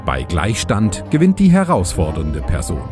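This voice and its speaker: A man delivers scripted rules like a theatrical announcer. His lowish voice swings widely in pitch, mock-formal throughout. Music plays clearly underneath. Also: wildly swooping pitch, moderate pace. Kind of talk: scripted narration.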